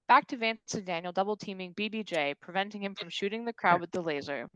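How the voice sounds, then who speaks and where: A woman's voice: mid-range voice, fast talker, sharp tone, clear, resonant tone, no room sound, lo-fi sound. A woman narrating action and stage directions from a script at a virtual table read.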